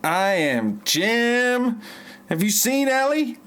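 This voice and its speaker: An amateur actor, a man playing an awkward, melodramatic character with stilted, halting delivery in a parody audio play. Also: very high voice, big pitch swings, fairly bright tone, exaggerated voice. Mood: flatly indignant.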